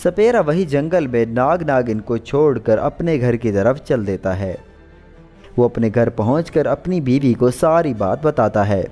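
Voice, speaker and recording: A man's voice: medium pitch, lively intonation, a little slow, warm tone, soft background music. A man narrating a scripted children's story as a storyteller.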